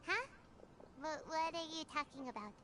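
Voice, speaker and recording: A woman voicing a tiny, excitable, childlike fairy companion in a video game, with a squeaky voice. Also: normal speed, slight background noise. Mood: excitable, confused. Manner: excitable, speaks of self in third person.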